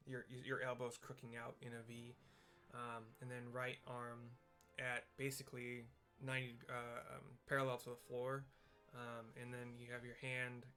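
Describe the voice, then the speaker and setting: A man casually describing video game scenes aloud, explaining step by step in a halting way. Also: mid-range voice, sharp tone. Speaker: gaming streamer narrating for visually impaired viewers.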